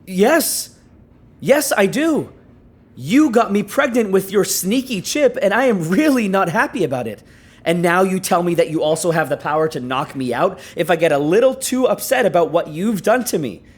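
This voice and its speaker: A man's voice: high voice, animated, moderate pace, breathy edge. An actor playing an indignant, rattled young male grad student who protests with exasperation in a naturalistic audio drama. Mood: indignant, upset.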